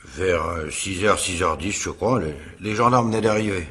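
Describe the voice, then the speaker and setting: A nervous widower questioned in a radio mystery. The voice is fairly low and rough-edged. He stammers, hedges and defends himself haltingly. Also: fairly bright tone. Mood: defensive, evasive. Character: nervous, evasive widowed husband under questioning. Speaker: actor in a radio mystery drama. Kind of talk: French radio drama acting, naturalistic.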